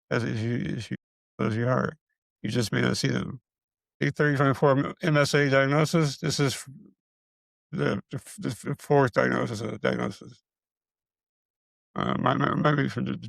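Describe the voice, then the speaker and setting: A middle-aged man speaks in a raspy, deeply gravelly voice, close and dry with no room sound. His speech halts, with stutters and repeated syllables as he runs short of breath. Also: dry recording, poor audio. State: short of breath, struggling to get words out. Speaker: video creator sharing his illness progress. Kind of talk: casual personal health update.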